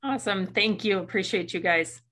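A woman's voice: medium pitch, normal speed, fairly bright tone. A woman on a video call, speaking earnestly and gratefully in long run-on sentences. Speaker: community member. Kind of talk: casual chat.

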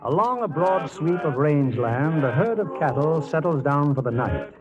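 A man presents old-time radio drama as a formal, theatrical host. His voice is fairly high and very expressive in pitch. The sound is dulled like a 1940s broadcast. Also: normal speed, lo-fi sound, music in the background. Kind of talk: 1940s scripted radio announcing and narration.

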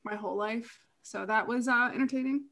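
A woman's voice: fairly high pitch, fairly even intonation, fairly quick, a bit bright. A woman from Virginia, a podcast guest telling her story openly in easy conversation. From Virginia, USA. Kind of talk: casual conversation.

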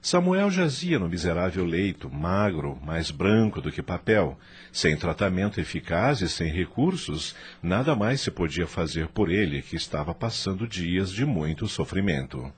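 A man's voice: low voice, lively intonation, moderate pace, hardly any pauses, breathy edge. A man narrating a radio drama in a scripted, dramatic style.

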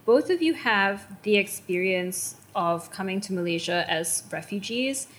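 A woman moderating a panel and asking the guests questions in a measured, polite way. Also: medium pitch, lively intonation, fairly bright tone. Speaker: interviewer, moderator.

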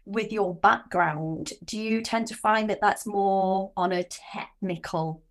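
A woman hosts a podcast, warmly affirming her guest with short responses. When she talks at length she muses aloud, circling her ideas with restarts before she lands on a question. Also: medium pitch, slow. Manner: encouraging, affirming, musing aloud. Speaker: podcast host. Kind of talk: conversational podcast interview.

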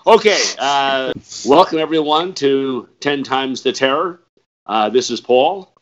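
Older male host, hoarse and raspy, halting delivery, muffled lo-fi audio. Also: mid-range voice, a little hiss or hum. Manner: hesitant, many fillers and restarts. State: hoarse from a cold. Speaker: podcast host. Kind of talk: casual interview chat.

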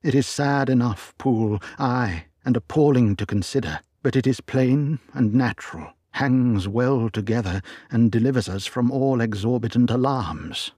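A man playing a grave, measured lawyer. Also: mid-range voice, darkish tone, husky, no room sound, clean studio sound, voice pushed up, close to an everyday voice. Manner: measured, deliberate. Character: grave, reasoning middle-aged male lawyer. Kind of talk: storybook reading.

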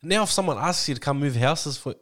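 A man's voice: a little high, lively intonation, a little fast, a bit bright. A man giving opinions bluntly and colloquially in a casual podcast chat. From Australia, Lebanese background. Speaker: podcast co-host.

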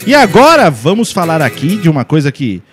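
A man's voice: a little high, fairly quick, over loud music. A Brazilian man in his forties with a Brazilian Portuguese accent, hosting a joking podcast chat and reading news aloud. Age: 40s. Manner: joking, animated, leads segments. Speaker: podcast host.

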